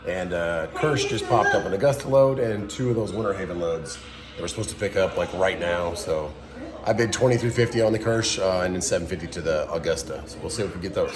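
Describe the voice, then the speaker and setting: A man's voice: deep voice, a little fast. A man in his thirties with a groggy voice, talking casually through a trucking vlog in a loose, rambling way, with a lot of fillers. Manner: rambling, relaxed talk with fillers. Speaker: owner-operator truck driver vlogger. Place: home kitchen and car.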